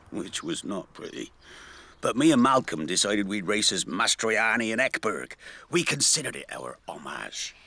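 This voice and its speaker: An actor playing a warm, nostalgic older British man telling stories in a chatty, wistful way in a naturalistic radio drama. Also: lowish voice, vocal fry, full character voice. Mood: amused, wistful. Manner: chatty, chuckling, wistful reminiscing.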